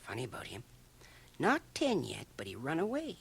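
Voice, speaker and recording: Lively, gossipy small-town Canadian barber. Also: male voice, mid-range voice, moderate pace, creaky, breathy edge, full character voice. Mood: chuckling, callous. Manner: folksy, animated, chuckling, dropping into confidential asides. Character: gossipy, chuckling small-town male barber, folksy and callous. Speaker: actor in a radio dramatization. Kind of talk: radio drama acting, rambling monologue. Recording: 1980 radio drama, home cassette transfer.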